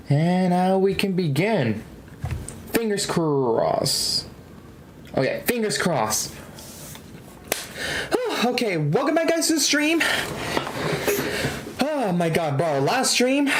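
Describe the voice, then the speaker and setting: A woman's voice: on the low side, a little hiss or hum. A woman livestreaming a game in casual, slangy, rambling chat with frequent exclamations. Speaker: livestream host, gamer.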